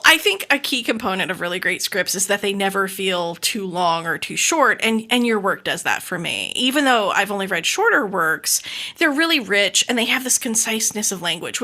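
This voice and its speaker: A woman hosting a casual interview, gushing and enthusiastic, quick to laugh as she talks with her guest. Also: medium pitch, bright, forceful tone. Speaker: podcast host interviewing a playwright.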